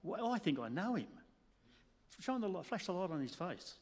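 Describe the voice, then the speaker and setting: A man playing an excitable, pleading press photographer in a comic radio play, stammering and repeating himself with urgency. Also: high voice, normal speed, heavily acted. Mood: alarmed, stammering. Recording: reverberant hall.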